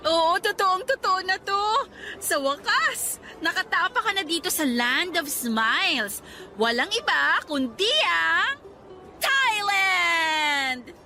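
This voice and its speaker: A playful young Filipina friend in a radio drama. Her voice is high and bright, expressive and teasing, with faint music underneath. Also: slow pace, heavily acted. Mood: overjoyed.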